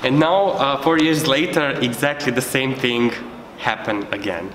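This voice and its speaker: A man's voice: medium pitch, a little slow. Guy telling a memory, mid voice, big reverby room. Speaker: youth network member. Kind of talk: personal anecdote. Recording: very echoey hall, faint noise.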